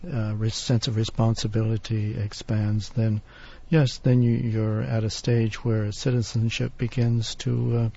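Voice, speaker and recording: A man talks very slowly with a gravelly, husky voice, often stopping to hesitate. His tone is dark and mellow, and the recording sounds somewhat dull. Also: lowish voice, level tone. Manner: halting, many ums. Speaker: panel guest. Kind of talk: discussion panel.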